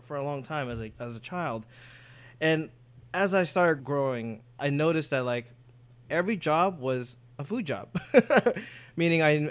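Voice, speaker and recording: A man's voice: mid-range voice, clean tone. A man, perhaps middle-aged, with an American accent, chatting casually and telling personal stories in an informal way. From born in Indonesia, moved to the US at five. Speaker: interview guest, food business owner. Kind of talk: casual conversational storytelling.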